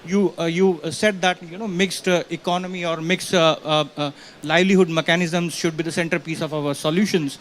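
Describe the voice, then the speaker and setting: Halting, higher-pitched man. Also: fairly even intonation, fairly bright tone. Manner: halting, frequent "you know". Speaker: audience questioner. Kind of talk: impromptu question at a panel event. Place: conference pavilion with small audience. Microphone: handheld event microphone.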